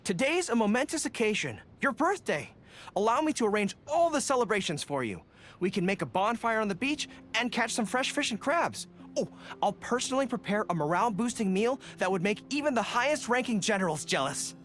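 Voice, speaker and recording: A man's voice: high voice, very animated, a little fast, a bit bright. An actor playing an earnest young male army general in a video game, formal, dutiful and easily embarrassed. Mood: earnest, resolute. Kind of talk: video game character voice lines.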